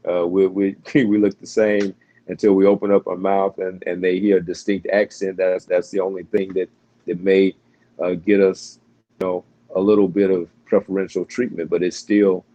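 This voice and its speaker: An American man, a pastor, talking in a relaxed interview with frequent fillers and restarts. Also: low-pitched, highs cut off. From United States. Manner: halting, many restarts and fillers. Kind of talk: casual interview conversation.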